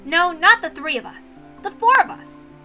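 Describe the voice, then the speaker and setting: A woman's voice: very high-pitched, lively intonation, moderate pace, pushed and bright, soft background music, heavily acted. A woman voicing a boisterous, cheeky teenage boy with a casual, teasing manner. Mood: nostalgic, lively.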